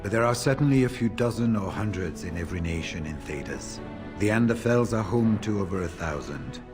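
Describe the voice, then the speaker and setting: A male actor voices a seasoned warden warrior in a fantasy role-playing game. His voice is deep and he speaks plainly, in a measured storytelling way. Soft music plays underneath. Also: lively intonation, lightly acted. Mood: frank, grave. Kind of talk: fantasy RPG dialogue.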